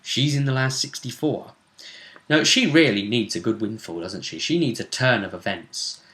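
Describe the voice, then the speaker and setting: A man hosting a casual chat about a tabletop role-play game, telling it with emphatic, drawn-out phrasing. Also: mid-range voice, lively intonation, fairly bright tone. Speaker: host. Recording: roomy, echoey room sound.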